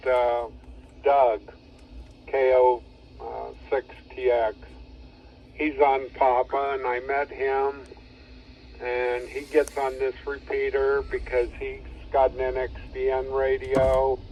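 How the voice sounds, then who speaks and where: A man chatting casually over ham radio in a halting, rambling, matter-of-fact way. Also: mid-range voice. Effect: two-way radio transmission. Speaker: amateur radio operator. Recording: dull two-way radio signal, faint noise.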